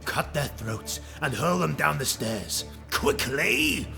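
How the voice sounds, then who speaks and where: A man voicing a cruel, hate-filled dark elf highborn in a fantasy audiobook, snarling and commanding with contempt. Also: big pitch swings, takes it slowly, vocal fry, over music, heavily acted. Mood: barking orders.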